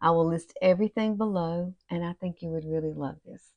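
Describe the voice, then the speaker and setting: A woman's voice: on the low side, fairly quick, no room sound, dull audio. A woman in her fifties with a Southern US accent, chatting casually and folksily as a beauty vlogger, as if to her girlfriends. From southern United States. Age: 50s. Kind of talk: casual chatty makeup tutorial. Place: small room at home, indoors.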